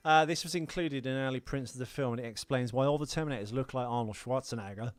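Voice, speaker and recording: A man's voice: medium pitch, fast, noisy background. A man co-hosting a podcast, talking casually about films in a rambling, jokey way with some swearing. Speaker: podcast co-host. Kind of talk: casual film chat with banter and mock voices.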